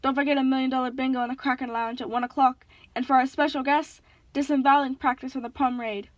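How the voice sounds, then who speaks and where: A woman plays a far too happy man announcing to passengers on a cruise ship. The delivery is chirpy and fairly high, in a dry audiobook recording. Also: steady tone, quick pace, dry recording, highs cut off, natural voice. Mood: cheerful. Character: overly cheerful man making cruise ship intercom announcements. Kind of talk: audiobook character voice.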